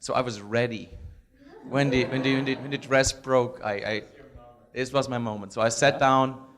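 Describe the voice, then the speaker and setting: A hoarse man, a psychology professor, speaking fast and eagerly from the audience of a panel discussion with many restarts. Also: medium pitch, fairly even intonation, a bit bright. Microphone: handheld microphone.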